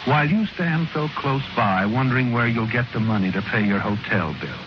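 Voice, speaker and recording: An actor narrating a 1940s radio crime drama as an ominous, mocking storyteller who speaks insinuatingly, straight to the character. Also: male voice, medium pitch, lively intonation, normal speed, highs cut off, close to an everyday voice. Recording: noisy, band-limited old radio recording.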